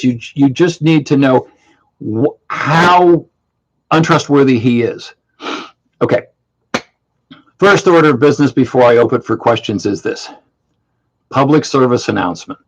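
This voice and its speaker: Blunt man in his seventies, husky. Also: mid-range voice. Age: 70s. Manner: blunt, dismissive, repeats himself. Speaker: host. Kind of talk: casual monologue to an online audience. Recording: muffled lo-fi audio.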